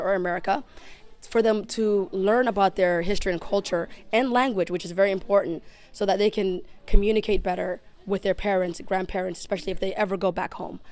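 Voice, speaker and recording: A woman's voice: mid-range voice, darkish tone. Woman reporter introducing a guest. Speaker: news reporter. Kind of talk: on-location news introduction. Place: library book fair event.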